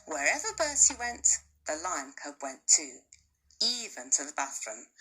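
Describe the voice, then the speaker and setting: Expressive, lively woman storyteller. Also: on the low side, husky, poor audio. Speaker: teacher. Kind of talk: reading a children's novel aloud.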